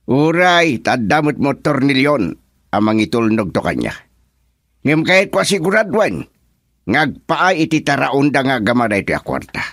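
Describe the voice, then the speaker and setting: A man's voice: mid-range voice, very animated, moderate pace, a bit bright, no echo, clean studio sound. An actor playing a stern older grandfather, blunt and scolding, with a Filipino accent, in a radio drama. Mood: firm, admonishing. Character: stern older grandfather scolding about his son-in-law. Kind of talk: Ilocano radio drama acting.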